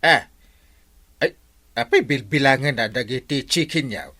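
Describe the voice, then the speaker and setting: A man's voice: medium pitch, lively intonation, unhurried, pushed and bright. A man playing a boisterous, comical village captain in an Ilocano radio comedy, with stammering, exclamations and cackles. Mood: playful, scheming. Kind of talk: comedic radio drama acting.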